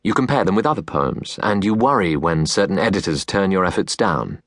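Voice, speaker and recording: Low-voiced man reading literary audiobook, dry sound. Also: expressive, no echo. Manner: measured, earnest, deliberate phrasing. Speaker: audiobook narrator. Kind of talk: literary audiobook narration of letters. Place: recording studio. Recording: dry, close studio recording.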